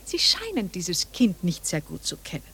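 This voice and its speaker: A woman's voice: fairly low pitch, huge pitch swings, normal speed, pushed and bright, breathy edge, full character voice. A woman playing a prim, meddlesome widow in a radio drama, formal, preachy and inquisitive. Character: prim, meddlesome widowed lady, primly moralizing.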